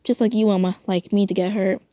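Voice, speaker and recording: A woman's voice: medium pitch, steady tone, quick pace, no room sound, lo-fi sound, close to an everyday voice. A woman playing a protective but hot-tempered brother in a fan story, blunt and scolding in manner. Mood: reassuring.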